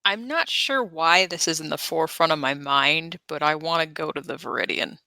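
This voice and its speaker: A woman's voice: sits low, moderate pace, sharp tone, dry recording. A woman chatting casually as a player in a tabletop roleplaying game.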